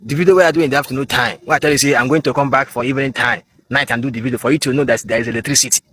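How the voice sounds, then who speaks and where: A man's voice: mid-range voice, steady tone, rapid-fire, fairly bright tone, slightly rough. A Nigerian man whose first language is Igbo, vlogging outdoors on a smartphone in a rambling, defiant way. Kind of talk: phone vlog.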